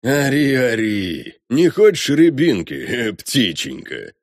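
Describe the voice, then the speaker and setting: A mocking, foul-mouthed invisible stranger, acted by a male narrator in a storybook drama. The delivery is coarse and folksy, with lively swings in pitch and a dry, close sound. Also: takes it slowly, dry recording. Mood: jeering.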